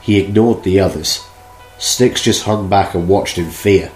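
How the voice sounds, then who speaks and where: A man narrating an audio drama in a steady reading voice. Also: sits low, a little slow, roomy sound, poor audio. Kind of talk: read-aloud story narration. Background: music.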